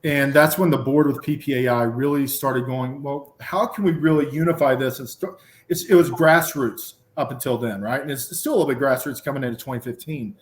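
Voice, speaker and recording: A man's voice: mid-range voice, fairly quick, reverberant. A man with a Southern drawl, a podcast guest who runs his words together and fills with um and you know. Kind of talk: casual podcast conversation.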